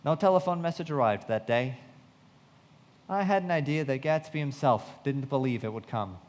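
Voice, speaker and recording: An actor playing a reflective, loyal young narrator in a live radio play, with measured dramatic delivery in a reverberant hall. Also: male voice, mid-range voice, lively intonation, a little mellow, natural voice. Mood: reflective, sombre.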